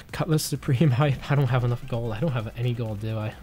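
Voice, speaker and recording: A man streaming a video game while getting over a cold, his voice sitting at an ordinary middle pitch. He chats loosely with a viewer and talks himself through each move as he plays. Faint game music plays quietly behind him. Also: brisk. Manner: chatty, thinks aloud while playing. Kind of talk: casual livestream chat while playing.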